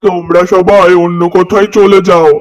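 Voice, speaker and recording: A man's voice: high voice, level tone, moderate pace, dull audio, faint music behind, close to an everyday voice. A man voicing a haughty, territorial kite who rules a cartoon forest. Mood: stern, unyielding.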